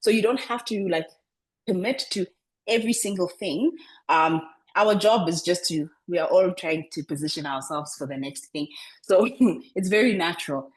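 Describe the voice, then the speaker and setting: A woman coaching public speaking over a video call, talking in a deliberately lowered register and often repeating words as she restarts. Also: low-quality recording. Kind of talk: online coaching session.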